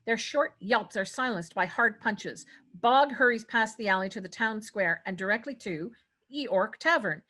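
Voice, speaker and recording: A woman with a medium-pitched voice reads scene headings and action lines. It is scripted narration for a table read. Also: expressive, normal speed, sharp tone. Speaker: narrator reading stage directions.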